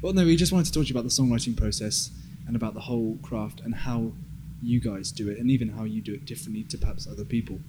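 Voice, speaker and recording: A man's voice: mid-range voice, fast, few pauses, noisy background. A man hosting a podcast in a casual interview style. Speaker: podcast host.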